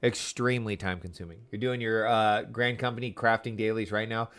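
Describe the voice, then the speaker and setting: A man's voice: fairly low pitch, fairly even intonation, fairly quick, a bit bright. Chatty man livestreaming a game. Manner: chatty, reacts aloud to the game and viewers. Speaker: livestreamer playing an online game. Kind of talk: casual livestream chat and commentary.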